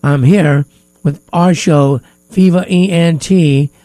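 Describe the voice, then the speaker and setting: A man hosting a live music talk radio show in a studio, sounding stuffy-nosed and pausing often with fillers. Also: fairly high pitch, steady tone, dark tone, no echo. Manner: hesitant, many filler pauses. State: stuffy nose. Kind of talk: casual talk radio chat.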